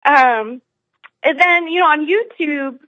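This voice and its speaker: A woman's voice: high voice, slow pace, no echo, lo-fi sound. An American woman calling in to a talk show, speaking hesitantly with many fillers and restarts. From United States. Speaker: caller. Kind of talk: phone-in call.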